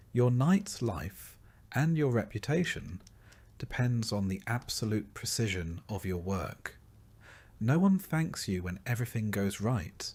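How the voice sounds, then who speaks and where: A man reading scripted narration in a measured, dryly wry storytelling manner. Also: lowish voice, slightly rough. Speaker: narrator of a history video. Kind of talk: scripted second-person narration.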